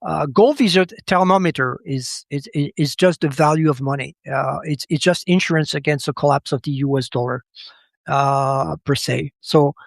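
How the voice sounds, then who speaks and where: A fairly high-voiced man who speaks as a gives his analysis as a podcast guest. He talks haltingly, doubling back on words as he looks for the right phrase. The sound is dry and close. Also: gravelly, no echo. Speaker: podcast guest, macro commentator. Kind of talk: conversational analysis.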